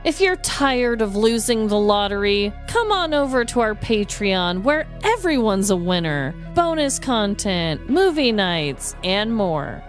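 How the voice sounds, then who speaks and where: A woman co-hosting a podcast with casual, expressive banter in an informal, exclaiming way. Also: medium pitch, a little slow, few pauses, faint music behind. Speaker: podcast co-host.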